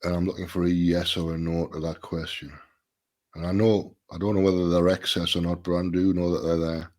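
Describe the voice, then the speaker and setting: A man's voice: flat delivery, brisk, darkish tone. A middle-aged man hosting a casual solo live stream, a little nasal and stuffy from a sinus problem, thinking aloud with restarts. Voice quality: slightly nasal, deeper than usual.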